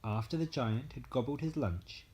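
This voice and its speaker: A man narrating a bedtime story as an expressive storyteller. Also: medium pitch, moderate pace, a little hiss or hum.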